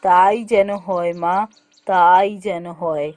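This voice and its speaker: A woman's voice: fairly low pitch, steady tone, slow pace, a little mellow. A woman voicing a worried older village mother in a cartoon, weary and fretful. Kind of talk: cartoon voice acting.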